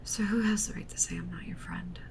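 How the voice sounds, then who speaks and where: A woman's voice: medium pitch, huge pitch swings, a little fast. A woman playing an obsessive, clingy yandere witch streamer in an intimate roleplay ASMR monologue, half-whispering with intensity. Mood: confiding, wistful.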